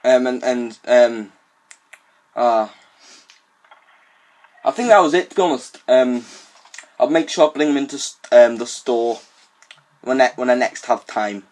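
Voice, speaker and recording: A young adult British man making a casual prank call in a halting, hesitant manner. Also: mid-range voice, a little mellow. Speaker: prank caller.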